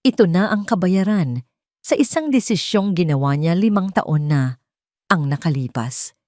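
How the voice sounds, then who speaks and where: A Filipino woman narrates a dramatic story from a script. Her voice sits fairly low, and the sound is dry and close. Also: expressive, normal speed, dark tone, dry recording.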